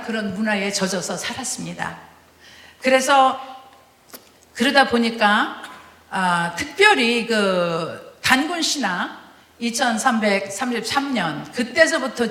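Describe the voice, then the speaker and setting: A woman from Korea with a Chungcheong accent, giving a lively testimony sermon as a guest preacher, full of fillers and laughs. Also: medium pitch, reverberant. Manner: animated, laughing, many fillers. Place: church sanctuary.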